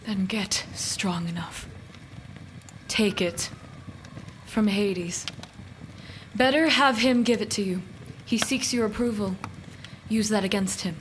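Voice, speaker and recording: Casting: woman as cool, challenging goddess for audio drama, mid-pitched, very unhurried and often hushed; noisy recording. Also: lightly acted. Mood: stern, goading. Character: stern, cool visiting goddess, challenging and unsentimental. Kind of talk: radio play acting.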